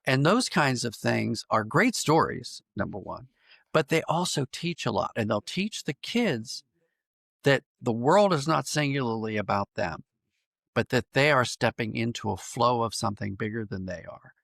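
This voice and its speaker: American guy podcast guest, bit of vocal fry, explains stuff thoughtfully, dry close sound. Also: mid-range voice, lively intonation, normal speed, a bit bright, no room sound. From Pennsylvania, United States. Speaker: podcast guest, writer and audio drama producer. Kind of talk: reflective interview conversation.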